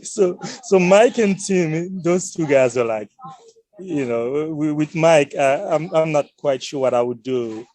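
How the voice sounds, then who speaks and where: A man's voice: on the high side, a little slow, a little mellow, no echo. A man chatting casually on a podcast, repeating words for emphasis as he finds his way through sentences.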